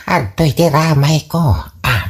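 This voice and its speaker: A man's voice: on the high side, slow pace, slightly rough, exaggerated voice. A man playing an amorous, easily flustered old father in an Ilocano comedy radio drama, with an Ilocano accent and a whining, exclaiming delivery. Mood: pained, alarmed.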